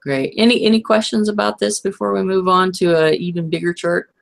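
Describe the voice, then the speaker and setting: A hoarse woman presenting in a webinar, walking listeners through a chart and checking that they follow. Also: lowish voice, brisk. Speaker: guest presenter.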